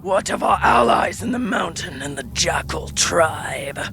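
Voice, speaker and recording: A villain's soldier minion in fantasy audio drama. The voice is high and rasping with creak, shouting each line slowly and obediently. Music plays clearly behind. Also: male voice, expressive, exaggerated voice. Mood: eager obedience. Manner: shouted military reporting. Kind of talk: fan audio drama acting.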